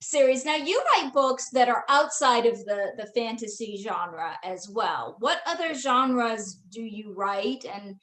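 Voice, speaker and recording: A woman author asking questions in a casual chat about writing, agreeing often with quick yeahs and letting sentences trail off softly. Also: on the high side. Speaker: author and interviewer.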